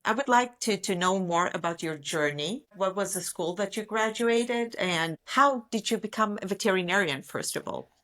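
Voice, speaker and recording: A woman's voice: medium pitch, normal speed, hardly any pauses, a bit bright. A woman speaking as a podcast host, enthusiastic and complimentary as she presents and interviews. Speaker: veterinary specialist. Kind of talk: scripted introduction and interview talk.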